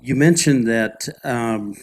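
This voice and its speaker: A man's voice: mid-range voice, slow. A male moderator asking a long, rambling question in a conversational manner.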